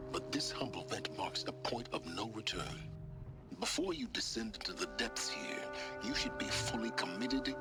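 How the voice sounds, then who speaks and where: A low male voice plays an older, secretive scholar in a video game. He is pompous and eloquent, measuring each phrase with sardonic impatience. Loud music plays behind him. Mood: stern, commanding. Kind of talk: dramatic video game voice acting.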